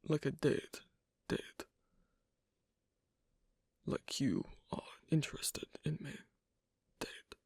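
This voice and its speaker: A performer voicing a shy, awkward, asocial young werewolf in an intimate ASMR roleplay, speaking softly and haltingly in a breathy, husky near whisper. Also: medium pitch, dry recording, studio quality, heavily acted. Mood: puzzled, tentative. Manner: hesitant, halting, soft-spoken with long pauses.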